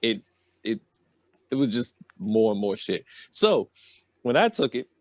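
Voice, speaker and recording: A man's voice: on the low side, sing-song, unhurried, no echo, studio quality. A man telling a story on a podcast in a casual, rambling, slangy way. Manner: colloquial, slangy.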